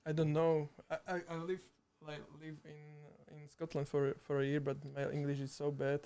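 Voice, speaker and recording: Slovak man, halting English, smooth voice. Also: fairly high pitch, completely flat delivery, mellow. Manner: halting, hesitant English with frequent restarts and laughter. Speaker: streamer and video editor guest. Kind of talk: casual chat. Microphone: too far from the mic.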